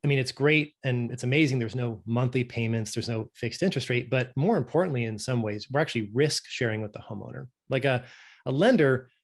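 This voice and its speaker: A man who grew up in Norway speaks as a podcast guest, with a voice of ordinary middle pitch. He explains in a relaxed, conversational way, often checking in with a quick tag question. His manner is patient and explanatory. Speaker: guest, company director.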